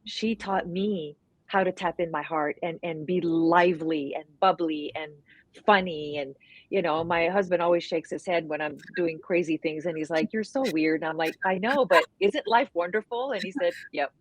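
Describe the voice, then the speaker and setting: Animated woman, French native, dry sound. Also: fairly low pitch, moderate pace, no echo, rough recording. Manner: animated storyteller, acts out her own past voices. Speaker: guest. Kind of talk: heartfelt conversational interview.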